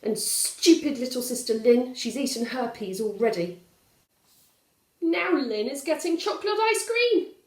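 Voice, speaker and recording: Sulky little storybook boy, voiced by a woman: whiny, indignant, high and swooping, in a roomy space. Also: lively intonation, a little slow. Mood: sulky grumbling. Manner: growling, shouting complaints.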